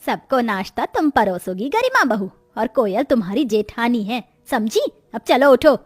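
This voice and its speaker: Commanding, fair-minded middle-aged matriarch for a moral cartoon, voiced by a woman, high-pitched, matronly. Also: very animated, normal speed, breathy edge, no echo, soft background music. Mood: stern. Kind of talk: cartoon moral story voice acting.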